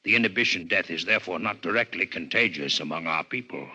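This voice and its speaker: An actor playing a grave, coldly intellectual alien physician in a 1950s radio drama, speaking in formal, measured lectures with a husky voice. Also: male voice, sits low, pushed and bright, heavily acted. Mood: grave, insistent. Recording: 1950s radio broadcast recording.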